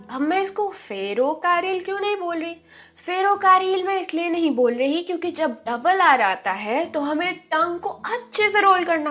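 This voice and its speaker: A woman from India with a high voice gives an online Spanish lesson. She speaks very slowly and stretches each word as she drills it. Soft music plays faintly behind her. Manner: slow, drawn-out pronunciation drills, repeating words. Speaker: Spanish teacher.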